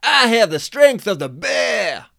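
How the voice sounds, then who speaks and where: A man's voice: a little high, animated, slow, a bit bright, no echo, clean studio sound, voice pushed up. A man voicing a brash young American snowboarding champion with a put-on Californian accent and a boastful surfer-dude drawl. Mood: rowdy.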